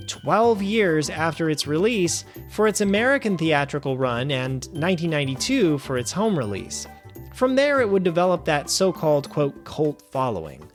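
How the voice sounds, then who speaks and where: A man hosting a film review show, reading a scripted review with wry, animated asides. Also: fairly high pitch. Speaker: film review podcast host.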